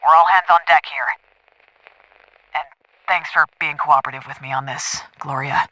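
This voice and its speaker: Through a radio-like phone filter comes a brisk woman manager in an audio drama. The sound is extremely bright and edgy, with no room echo. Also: low voice, fairly even intonation. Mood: brisk, strained.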